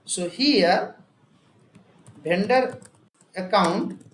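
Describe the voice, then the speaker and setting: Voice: mid-range voice, extremely slow. Echoey Indian-accented instructor, sex unclear, teaching step by step. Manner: repetitive, emphatic step-by-step explaining with frequent check words. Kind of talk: software and accounting tutorial, explaining a worked example. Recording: echoey room with faint background noise.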